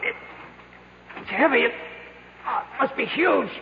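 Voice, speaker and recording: An actor playing an anxious, protective young man trapped in the dark, with a halting, stammering delivery in old-time radio style. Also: very high-pitched, animated, gravelly, reverberant, in a large hall, exaggerated voice. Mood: straining, frustrated. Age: young adult. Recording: muffled old radio recording, faint noise.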